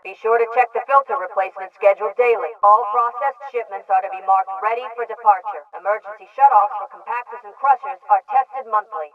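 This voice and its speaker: A distant, phone-thin loudspeaker carries a female government announcer from a sci-fi game. She is measured, formal and impersonal. Her delivery is orderly and authoritative, like scripted public notices. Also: mid-range voice. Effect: loudspeaker radio filter. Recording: tinny, band-limited, distant loudspeaker sound.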